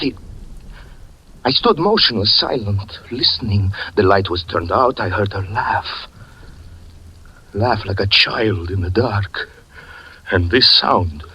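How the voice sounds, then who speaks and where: Haunted cabaret man, old radio drama vibe, low but bright voice, whispers a lot. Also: a little hiss or hum, exaggerated voice, poor audio. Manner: intense, dramatic, sinks to hushed whispers. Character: haunted devoted male cabaret performer recounting lost love. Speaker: narrator. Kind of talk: 1940s radio acting.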